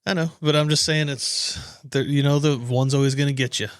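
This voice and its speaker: A man's voice: on the high side, steady tone, fairly quick, clean tone, dry recording, studio quality. An American man co-hosting a podcast, chatting casually in slangy, informal language. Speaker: podcast co-host. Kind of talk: casual chat and informal sponsor plugs.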